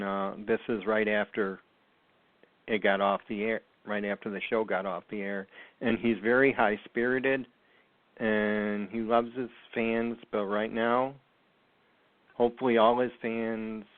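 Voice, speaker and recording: A man hosting a call-in radio talk show, speaking slowly with frequent ums and pauses. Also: lowish voice, level tone, no echo. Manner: slow, halting, many ums. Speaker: host. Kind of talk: casual radio chat. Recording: tinny phone line, dry.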